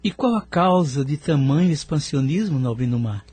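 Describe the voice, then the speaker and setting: Curious, polite male visitor for audio drama, played by an actor: moderately high, breathy edge, formal wording. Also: lively intonation, moderate pace, darkish tone. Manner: formal, scripted questioning. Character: courteous, inquisitive male visitor from Earth.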